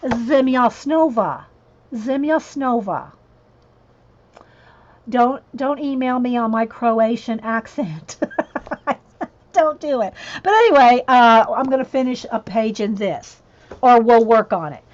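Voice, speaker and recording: A woman's voice: fairly high pitch, slow, pauses often, a little mellow. A woman chatting casually while she colors on a livestream, rambling and answering viewers as she goes. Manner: chatty, rambling, reads chat messages aloud and answers viewers. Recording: dull lo-fi livestream audio.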